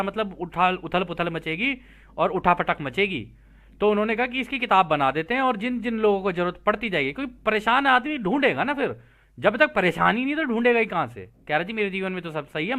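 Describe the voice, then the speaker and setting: Lively, high-pitched man explaining. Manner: conversational, colloquial, explains by repeating phrases. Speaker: host reading and explaining a translated book. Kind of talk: informal spoken commentary on read-out passages.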